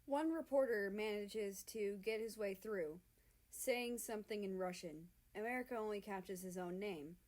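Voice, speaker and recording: A woman with a mid-pitched voice narrates a story. She reads from a written text as a fiction reader. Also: moderate pace, a little mellow.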